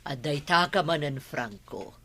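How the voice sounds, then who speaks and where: A lowish female voice, an actress as a stern mother in a radio drama, scolding with exasperation. Her delivery is emphatic and animated, recorded dry and close. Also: measured pace, no room sound, exaggerated voice. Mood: stern, exasperated. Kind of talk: Ilocano radio drama acting.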